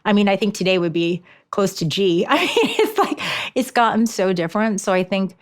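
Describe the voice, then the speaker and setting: A woman's voice: mid-range voice, lively intonation, brisk. A woman in her forties giving an interview as an author, telling stories animatedly with frequent restarts. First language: Japanese. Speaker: author and education consultant, guest. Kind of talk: conversational interview.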